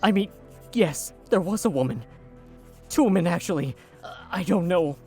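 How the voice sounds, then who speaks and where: An actor playing a haunted young man recounting his captivity in a hesitant, halting way. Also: sits high, expressive, a little mellow, soft background music. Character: haunted young male witness, former captive.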